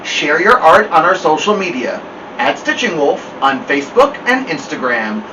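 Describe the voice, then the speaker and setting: Expressive high-voiced man reading stories, distant mic. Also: normal speed, background noise. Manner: expressive, playful, addressing listeners directly. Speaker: host of a children's story show. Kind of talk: animated read-aloud storytelling with chatty asides.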